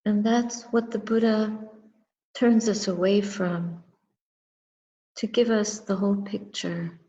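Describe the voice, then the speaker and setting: Very slow, mellow woman guiding meditation. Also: medium pitch, steady tone. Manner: contemplative, measured, short phrases with long pauses. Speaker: Buddhist nun and meditation teacher. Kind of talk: guided meditation and dharma talk. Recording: muffled recording with long reverberant echo.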